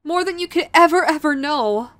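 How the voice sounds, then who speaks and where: A woman's voice: sits high, expressive, moderate pace, a bit bright, dry recording, voice pushed up. A woman playing a sweet, clingy, anxious young yandere girlfriend in a romantic audio roleplay, with a stammering, gushing manner. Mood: lovestruck. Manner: stammering, breathy gushing, apologetic.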